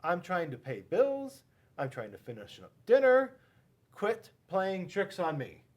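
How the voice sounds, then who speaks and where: A man's voice: sits high, wildly swooping pitch, unhurried, darkish tone, pitched above the performer's own voice, full character voice. A man voicing a busy mother who scolds her son in exasperation. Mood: impatient.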